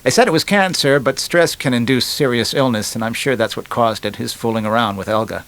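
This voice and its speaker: A man's voice: medium pitch, fairly quick, a bit bright, natural voice. A glib young man, acted out by a male narrator in a storybook, talks in a chatty, wisecracking way. He leans on words with punchy emphasis, and a streak of resentment runs under the joking. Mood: bitter, self-justifying.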